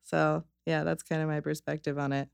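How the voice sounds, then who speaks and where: A woman's voice: low-pitched, a little fast, vocal fry, smooth, no echo, clean studio sound. A woman in her twenties from Connecticut, a tattoo artist talking casually as a podcast guest, full of "like" fillers and quoting herself. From Connecticut, United States. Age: 20s. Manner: chatty, frequent "like" fillers, quotes herself in lively bursts. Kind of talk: casual conversational interview.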